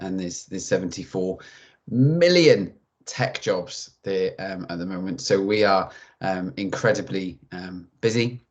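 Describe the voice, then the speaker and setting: Deep-voiced Welsh man, hesitant. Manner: hesitant, frequent ums. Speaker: webinar speaker. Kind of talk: informal presentation.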